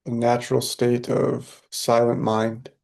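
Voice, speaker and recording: A man guides a meditation with a very flat, barely moving pitch and a creaky edge to his voice. The tone is dark and mellow. He is calm and soothing, leaving long silences between short phrases. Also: slow pace. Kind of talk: guided meditation, spoken softly with long pauses.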